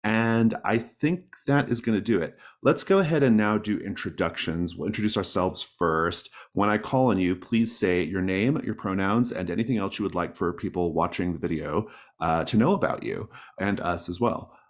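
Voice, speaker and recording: Low-voiced man hosting a game session. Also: normal speed. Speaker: game master and host. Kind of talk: casual introduction to an online tabletop game session. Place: online group call.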